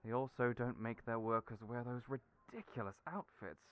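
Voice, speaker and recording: A man's voice: mid-range voice, moderate pace, clear, resonant tone, highs cut off, natural voice. A man playing a fussy, doting male hairdresser who fusses over people and calls them dearie. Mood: persuasive. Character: chatty flamboyant male hairdresser friend. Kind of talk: audio roleplay acting.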